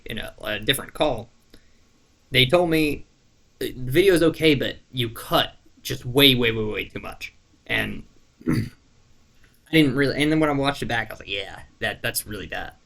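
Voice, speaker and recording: Rambling man with a creaky voice. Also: medium pitch, a bit bright. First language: not French. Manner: rambling, searching for words, frequent fillers and restarts; laid-back, self-deprecating, swears casually. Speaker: YouTuber. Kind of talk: casual monologue to camera.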